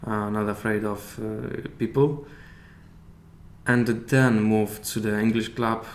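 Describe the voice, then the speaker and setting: A man with a Polish accent, a native Polish speaker, chatting as a podcast guest and often pausing to search for English words. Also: fairly low pitch, flat delivery. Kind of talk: casual interview chat. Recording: echoey room with faint hiss.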